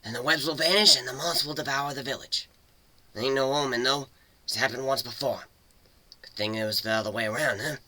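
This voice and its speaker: A man doing a bossy, sharp-voiced female cat guide. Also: mid-range voice, brisk, dry recording, rough recording. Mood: wry, cautioning. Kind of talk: dramatic storybook character reading.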